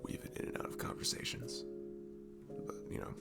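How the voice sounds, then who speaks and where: A man playing a sweet, goofy, comforting barista in an intimate ASMR roleplay, speaking softly and haltingly with a faint Southern US accent. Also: loud music behind the voice, lightly acted. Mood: sheepish, amused. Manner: soft, halting, chuckling, drifting into near-whispers. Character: cute, goofy, comforting young male barista, sweet and self-deprecating.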